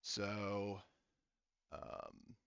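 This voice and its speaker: A man's voice: on the low side, monotone, drawn-out words, fairly bright tone, clear, resonant tone, no room sound, dull audio. A man acting as game master, casually narrating a tabletop game and muttering to himself as he checks rules and counts.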